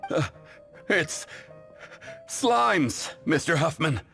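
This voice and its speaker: A man playing a panicked junior knight in a video game, halting and urgent as he addresses his superior. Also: fairly high pitch, big pitch swings, gravelly, a bit bright, faint music behind, full character voice.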